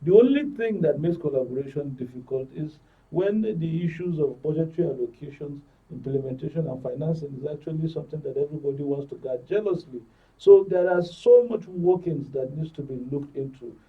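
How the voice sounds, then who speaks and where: A Nigerian man explains policy as a panel guest, often doubling his words. His voice is slightly high and rough-edged. It comes through thin and phone-like. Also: a little fast. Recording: thin phone-like sound, distant microphone.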